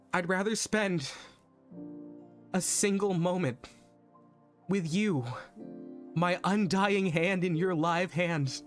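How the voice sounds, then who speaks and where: Man playing lovestruck undead monster, high-pitched and earnest. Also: fairly bright tone. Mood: pleading. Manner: halting, earnest dramatic monologue with repeated phrases. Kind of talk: theatrical romantic monologue.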